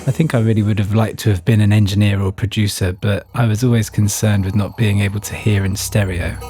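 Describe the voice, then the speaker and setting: Guy w a lowish voice doing scripted personal story narration, music behind him. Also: flat delivery, a little fast, dark tone. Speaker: narrator telling own story.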